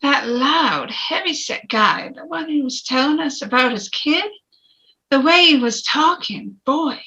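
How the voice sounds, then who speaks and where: A folksy, plain-spoken working man on a jury, self-assured and read by a woman in a high, bright voice. It is an amateur screenplay reading on a dull, lo-fi mic. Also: animated, a little slow, exaggerated voice. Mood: blunt, chatty. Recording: dull, lo-fi microphone.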